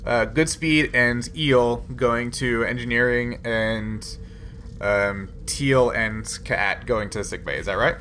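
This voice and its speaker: Male game master, medium pitch, improvised sci-fi tabletop narration with a halting flow, noisy room recording. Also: a bit bright.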